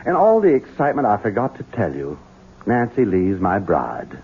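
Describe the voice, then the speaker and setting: Mean mocking husband in old radio play, low voice, real swingy, thin hissy sound. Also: male voice, wildly swooping pitch, moderate pace, vocal fry, exaggerated voice. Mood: smugly mocking. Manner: sardonic, taunting, mock-polite. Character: cruel, mocking, hard-drinking Southern son and husband. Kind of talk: 1970s radio drama acting. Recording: tinny, noisy old radio recording.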